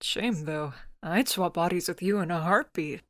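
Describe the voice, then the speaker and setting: A woman's voice: on the low side, normal speed, dry recording, natural voice. A woman plays a young transfeminine friend in a visual novel story, doubting and anxious. The delivery is earnest with a sarcastic, whiny edge, recorded very dry. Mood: teasing.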